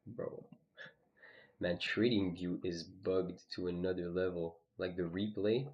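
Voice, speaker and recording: A man's voice: low voice, fairly even intonation, a little slow, darkish tone, highs cut off. A man streaming live, casually walking through his trades on a chart, with lots of "you know" and "okay". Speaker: trading streamer.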